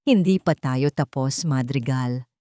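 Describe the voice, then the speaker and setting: A woman's voice: extremely low pitch, a little slow, warm tone, no echo, pitched below the performer's own voice. A woman plays a threatening male crook on the run in a dramatic audio story. He is mocking and menacing, recorded dry. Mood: cold, taunting. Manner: taunting, threatening.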